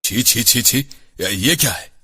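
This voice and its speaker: A man's voice: mid-range voice, lively intonation, slow pace, pushed and bright, husky, heavily acted. A man voicing an Indian family man in a domestic cartoon story, reacting in simple exclamations. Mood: disgusted.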